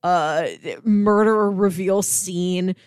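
Female podcast co-host, medium pitch, casual scene-by-scene commentary with quoted character asides, very dry sound. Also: steady tone, slow pace, darkish tone, smooth, no echo. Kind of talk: casual TV review discussion.

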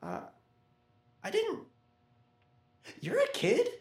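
A woman acts as a twelve-year-old boy in an audio drama, timid and eager at once. The delivery stammers and hesitates, with very lively intonation. The room around the voice echoes noticeably. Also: extremely high pitch, extremely slow, heavily acted. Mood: uncertain, nervous. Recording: roomy, echoing sound.

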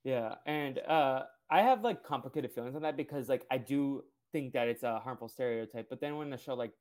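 Relaxed young man host, rambling. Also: mid-range voice, brisk. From Brazil. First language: Portuguese. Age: 20s. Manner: relaxed, rambling, many fillers. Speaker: podcast host. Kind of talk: casual interview chat.

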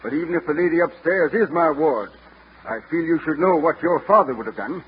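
An actor playing a pompous, courtly older general with a Southern American accent in a vintage radio drama. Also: male voice, fairly high pitch, lively intonation, brisk, husky. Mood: blustering. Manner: pompous, courtly, punctuated with "sir". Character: blustery, old-fashioned older Southern general and guardian. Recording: muffled old broadcast over loud music.

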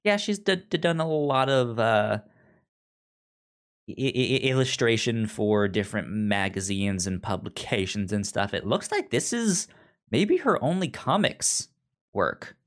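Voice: mid-range voice, very animated, measured pace. A podcast co-host casually discussing books in a hesitant, stammering way.

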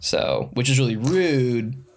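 A man's voice: mid-range voice, sing-song, slow pace, vocal fry, a little hiss or hum. A young man co-hosting a podcast in casual chat, wry and laughing as he talks, with a slightly congested voice. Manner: wry, laughs while talking. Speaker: podcast co-host.

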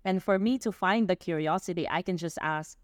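A woman's voice: lowish voice, brisk, dry recording, clean studio sound. A woman business owner, a podcast guest, explaining energetically with examples and asking "right?" often. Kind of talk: interview answers.

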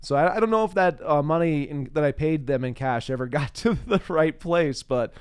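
A man's voice: a little high, moderate pace, clean tone, dry recording. An American man hosting a casual chat interview, engaged and agreeable, often repeating words as he finds his phrasing. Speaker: podcast host and interviewer.

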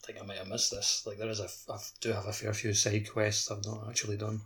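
Quick, husky low-voiced man. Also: completely flat delivery, a bit bright. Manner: loose, halting phrasing peppered with "like". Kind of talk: casual gaming podcast chat.

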